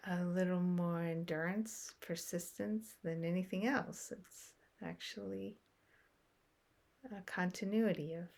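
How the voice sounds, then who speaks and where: Woman, meditation guide, very slow, low voice with vocal fry, soft, long pauses. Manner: soft, unhurried, long pauses between phrases.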